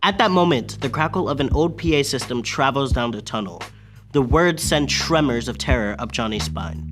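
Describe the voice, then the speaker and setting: A man's voice: mid-range voice, lively intonation, normal speed. Dramatic male radio narrator over music. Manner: suspenseful, dramatic announcer delivery. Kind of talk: scripted old-time radio narration.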